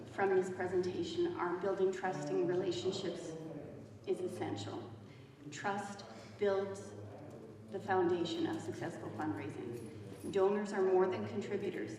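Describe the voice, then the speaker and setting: A woman's voice: on the low side, steady tone, measured pace, a crowd in the background. A woman fundraising consultant giving an earnest conference presentation, steadily repeating her key points. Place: conference hall. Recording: echoey hall from far off, audience noise.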